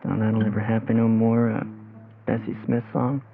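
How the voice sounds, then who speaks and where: Creaky-voiced, monotone male radio host. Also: lowish voice, music in the background. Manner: halting, informal. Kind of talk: casual radio interview. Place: radio studio. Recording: dull, phone-like old radio aircheck.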